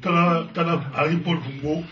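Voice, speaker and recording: A man of mid pitch asks long, halting questions packed with filler words. His voice is distant and dulled, with a lo-fi tone. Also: fairly even intonation, a crowd in the background. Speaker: interviewer. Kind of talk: interview questions.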